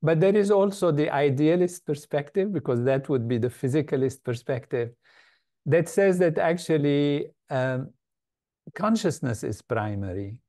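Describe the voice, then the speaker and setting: An older man from Lebanon, a guest in an interview, giving measured, thoughtful answers with frequent pauses and fillers. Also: fairly high pitch, warm tone. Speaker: guest, meditation organization leader.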